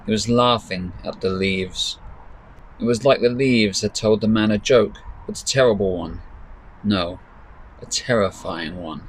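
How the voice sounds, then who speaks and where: A man reading his own story as dramatic narration, with measured, deliberate pauses. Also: on the low side, rough recording. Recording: faint background noise.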